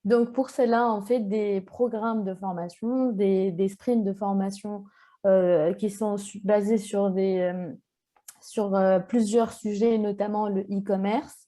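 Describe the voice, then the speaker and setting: Mellow-voiced Tunisian-born woman. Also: mid-range voice, fairly even intonation, slow. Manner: hesitant, frequent fillers. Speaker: social enterprise cofounder. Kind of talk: webinar introduction.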